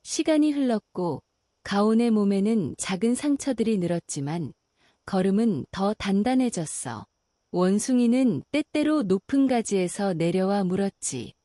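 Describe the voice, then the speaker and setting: A woman narrating a bedtime fairy tale in a calm, slow and soothing way. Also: mid-range voice, no echo, studio quality. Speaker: storyteller.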